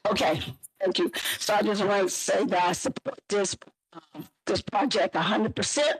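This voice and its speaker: A hoarse middle-aged councilwoman speaks up at a zoning hearing, her voice husky and breathy. She rambles earnestly, piling on fillers as she backs the project, and the sound is close and dry with no room around it. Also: mid-range voice, moderate pace, dry recording, rough recording. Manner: earnest, rambling support speech with many fillers. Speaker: city councilwoman. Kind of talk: public meeting testimony.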